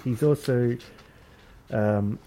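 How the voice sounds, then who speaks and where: A man's voice: mid-range voice, drawn-out words, mellow. An Australian man hosting a cricket podcast, joking with his mates and rattling off mock introductions and lists. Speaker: podcast host. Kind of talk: casual banter with mates. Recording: online call audio.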